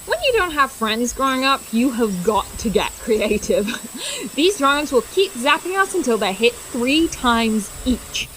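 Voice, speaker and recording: Woman playing a high, animated brainy teen inventor. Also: a little slow, slight background noise, poor audio. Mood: mischievous. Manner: precise, wordy, technical phrasing. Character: brainy, mischievous young teen superhero inventor. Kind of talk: scripted audio drama acting.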